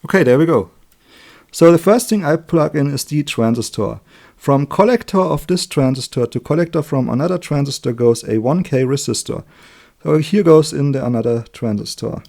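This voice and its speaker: A man with a German accent, a native German speaker, giving an informal hands-on electronics tutorial in a chatty, halting way, correcting himself as he works. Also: a little high, animated, vocal fry, warm tone. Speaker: electronics hobbyist demonstrating a circuit build. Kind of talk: informal hands-on tutorial, explaining while working.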